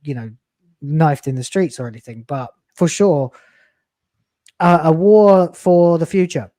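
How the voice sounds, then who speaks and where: A man's voice: a little high, unhurried, mellow, no room sound. Blunt, profane man in his late thirties. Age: late 30s. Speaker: YouTuber guest. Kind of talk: casual podcast chat.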